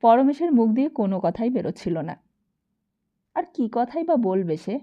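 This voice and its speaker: Woman audiobook narrator, very unhurried, high bright voice, expressive storytelling, slightly dull lo-fi sound. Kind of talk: scripted dramatic novel narration.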